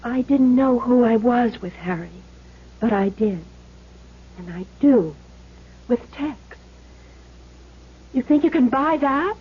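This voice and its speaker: A woman's voice: fairly high pitch, animated, takes it slowly, long pauses, husky, exaggerated voice. An actress playing a decent, spirited young married woman in an old-time radio drama, earnest, emotional and emphatic. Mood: firm, defiant. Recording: dull lo-fi old radio recording.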